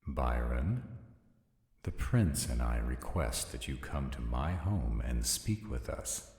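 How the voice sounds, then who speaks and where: A man playing a formal, commanding vampire nobleman in a roleplay audio drama, with a gravelly, husky voice and deliberate phrasing. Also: rumbling low, very animated, darkish tone, dry recording, clean studio sound, full character voice. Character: commanding, arrogant male vampire nobles in tense parley.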